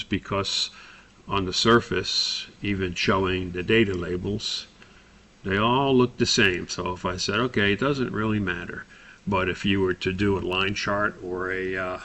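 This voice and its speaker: A man instructor talking through a software tutorial, explaining and thinking aloud as he goes. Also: low voice, fairly even intonation, a little slow. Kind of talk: spoken screen-recorded software tutorial.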